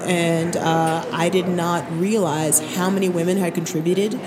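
A woman in her thirties, born in Barbados, talking thoughtfully and calmly as a guest in an interview. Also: lowish voice, a little slow, crowd noise. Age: 30s. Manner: relaxed, thoughtful, uses fillers. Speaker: artist guest. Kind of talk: interview answers. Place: expo hall at a live recording.

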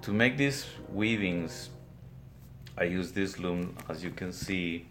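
Slow, deep-voiced older Guatemalan man, reflective. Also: fairly bright tone, faint music behind. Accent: Guatemalan Spanish. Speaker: weaver, interview guest. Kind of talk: interview, recounting his life. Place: weaving studio, indoors.

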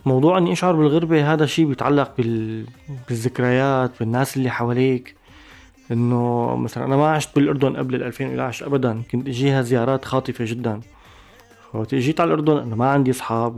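A man with a Syrian Arabic accent, a musician giving a thoughtful interview, pausing and restarting mid-phrase. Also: medium pitch, steady tone, vocal fry, a little mellow, soft background music. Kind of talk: reflective interview talk.

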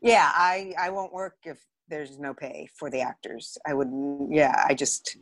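A woman's voice: low voice, steady tone, a little slow, no room sound. A woman with an American accent chatting casually as an interview guest who works as a theater director. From Los Angeles area, United States. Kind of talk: casual conversation.

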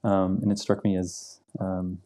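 A man's voice: deep voice, monotone, unhurried, warm tone. A young man, a literature professor, answering interview questions in a thoughtful, hesitant way with many ums and repeated words. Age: young adult.

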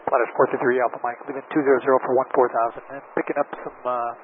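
Male pilot, extremely quick and flat, on a tinny radio transmission. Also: medium pitch. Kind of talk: clipped radio readbacks. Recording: noisy, tinny cockpit radio.

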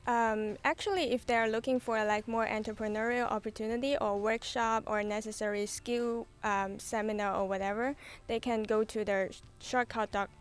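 Give me a young woman with a smooth, slightly high voice answering radio questions hesitantly. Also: fairly even intonation, moderate pace, hardly any pauses. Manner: hesitant, fillers. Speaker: exchange student guest. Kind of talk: radio interview.

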